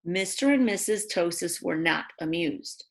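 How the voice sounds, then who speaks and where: A woman reads a picture book aloud to young children in an expressive way, adding chatty asides about the pictures. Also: fairly low pitch, a little slow, gravelly, noisy background. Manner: expressive, exclaims and gasps over the pictures. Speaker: read-aloud storyteller for children.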